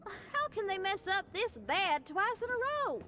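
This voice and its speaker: A woman's voice: very high voice, sing-song, unhurried, over music, full character voice. A woman voicing a brash, cheerful young female rock musician in a game, with folksy, slangy speech. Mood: frustrated. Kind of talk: game character voice acting.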